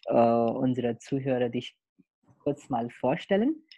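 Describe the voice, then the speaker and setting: A young man hosting a podcast, opening with a soft spoken introduction and then chatting with many fillers. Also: medium pitch, lively intonation. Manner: soft, slow intro over music, then chatty and halting. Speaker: podcast host. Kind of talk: podcast interview with narrated intro.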